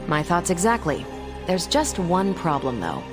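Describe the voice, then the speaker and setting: Casting: confident game superhero captain, lowish female voice, wry and informal, music behind. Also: huge pitch swings, measured pace. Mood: wry, brisk. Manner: breezy, wry, colloquial. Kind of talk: video game cutscene acting.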